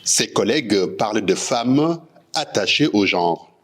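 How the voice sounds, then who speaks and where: A man's voice: lowish voice, animated, a little slow, fairly bright tone, slight background noise. A man reading a scripted news report.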